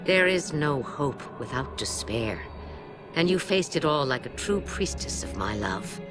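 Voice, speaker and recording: A man voicing a serene but stern prophetess from an alien legend, measured and preaching, as a story told in a video game. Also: medium pitch, sing-song, voice pushed up. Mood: stern, instructing. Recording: game music behind the voice.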